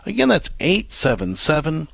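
A man's voice: on the high side, wildly swooping pitch, normal speed, vocal fry, a bit bright, husky, no room sound. A man co-hosting a call-in radio show, asking probing questions with frequent restarts. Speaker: radio talk show co-host.

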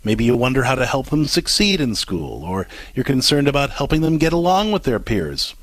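A man's voice: medium pitch, sing-song, normal speed, no room sound. A man co-hosting a call-in radio show, asking probing questions with frequent restarts. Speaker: radio talk show co-host.

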